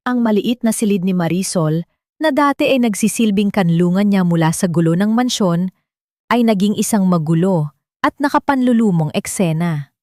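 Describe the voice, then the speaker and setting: A woman's voice: mid-range voice, animated, moderate pace, darkish tone, dry recording, studio quality. A woman with a Filipino accent reading a scripted story as a dramatic audiobook narrator.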